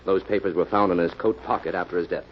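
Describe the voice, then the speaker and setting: An actor playing a shrewd, commanding detective in a 1940s radio mystery. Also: male voice, low voice, fairly even intonation, fast, lightly acted. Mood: measured, explaining. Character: Jim, shrewd, methodical, commanding male detective hero. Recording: noisy, muffled old-time radio recording.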